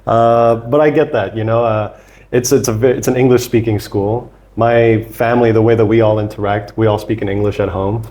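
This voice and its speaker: Young man with a low voice, rambling. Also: a little fast. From Thailand. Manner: relaxed, rambling, many fillers and restarts. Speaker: podcast guest. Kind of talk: casual interview talk.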